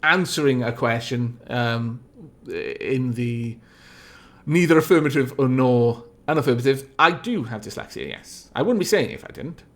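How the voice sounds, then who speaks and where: Man musing over a game, lively pitch. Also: normal speed. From Wales. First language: Welsh. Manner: rambling, thinking aloud, dry asides. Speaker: let's play streamer. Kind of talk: casual gameplay commentary. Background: faint background music.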